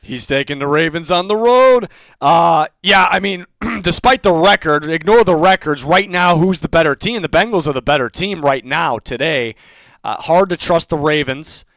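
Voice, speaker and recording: Higher-voiced man talking football picks, close and dry. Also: animated, normal speed, fairly bright tone, no echo. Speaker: host of a football picks show. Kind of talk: casual sports chat.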